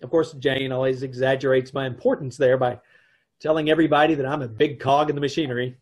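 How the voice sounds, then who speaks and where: A man's voice: mid-range voice, steady tone, fast, darkish tone, natural voice. A middle-aged man playing a dry, wry, put-upon advertising man and husband in an old-time radio comedy, sometimes stammering. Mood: wry narration. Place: indoors at home on a video call.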